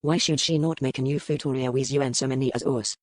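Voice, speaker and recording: A man's voice: medium pitch, fairly even intonation, fairly quick, dry recording, studio quality, lightly acted. Man acting a suave French colonial merchant. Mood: probing, persuasive. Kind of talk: dramatised audiobook dialogue.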